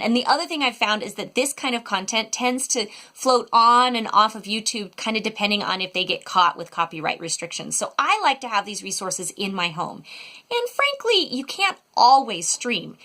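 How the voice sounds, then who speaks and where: American mom doing a chatty review vid, really bright punchy voice, kinda high, super into it. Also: female voice. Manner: enthusiastic, chatty, emphatic. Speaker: homeschool mom reviewing educational resources. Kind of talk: casual video product review.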